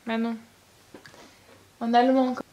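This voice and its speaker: A woman's voice: a little high, little pitch movement, measured pace, mellow, highs cut off. A young woman vlogging in casual chat, chatty and self-mocking, laughing at herself now and then. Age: young adult. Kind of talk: casual study-with-me vlog chat.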